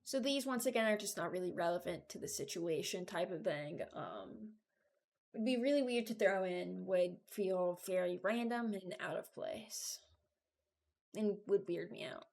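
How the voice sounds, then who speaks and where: A woman giving informal, halting commentary as a video creator, thinking aloud while she ranks things. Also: fairly low pitch, lively intonation, gravelly, a little mellow. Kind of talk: casual commentary, ranking game voice lines.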